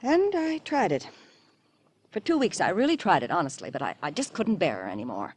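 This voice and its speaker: An actress playing a haughty, impatient middle-aged American wife, scornful and evasive, in an old-time radio drama. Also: female voice, fairly low pitch, big pitch swings, a little fast, a little mellow, slightly rough. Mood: defensive, scornful. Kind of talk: 1940s-50s American radio drama acting. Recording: vintage radio recording.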